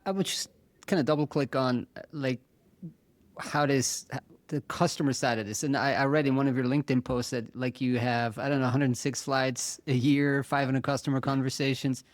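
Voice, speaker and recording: A man's voice: medium pitch, fairly even intonation, moderate pace, no echo. A man interviewing a guest on a podcast, asking casual questions that he reformulates as he goes.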